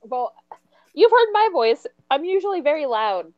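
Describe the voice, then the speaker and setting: A woman co-hosting a casual podcast chat, blunt and teasing, swearing freely, on a quiet, muffled home mic. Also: high-pitched, very animated, normal speed, dry recording. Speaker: co-host and chat monitor.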